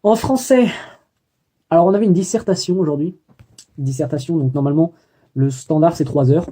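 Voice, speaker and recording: Young man, very dark mellow tone, slightly high voice, hesitant, lots of fillers and restarts, daily vlog recap. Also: moderate pace, slightly rough. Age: young adult. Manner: hesitant, many fillers and self-corrections. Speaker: student vlogger. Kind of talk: daily video diary.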